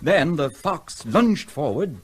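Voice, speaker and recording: An actor playing a forestry warden who talks in a halting, stammering way, in a radio drama. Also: male voice, a little high, very animated, breathy edge, full character voice.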